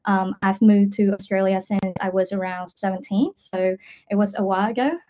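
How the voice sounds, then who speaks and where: A woman at a middle pitch. She answers in a casual, conversational way, pausing and thinking aloud as a learner in an online class. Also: fairly even intonation, mellow, rough recording. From Vietnam. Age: 20s. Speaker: English student, data scientist.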